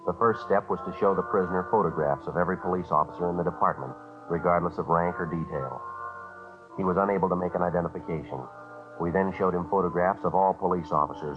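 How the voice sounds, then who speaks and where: An American man playing a stoic police detective in a 1950s radio drama, clipped, deadpan and matter-of-fact. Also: sits low, close to an everyday voice. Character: stoic, businesslike police detective sergeant, narrating his case. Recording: tinny vintage radio recording, music behind.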